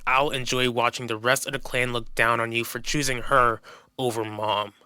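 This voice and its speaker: Man playing bitter son, bright dry voice. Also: medium pitch, flat delivery, moderate pace, smooth, no room sound. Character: bitter, resentful adult son confronting his father. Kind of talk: animated soap opera acting.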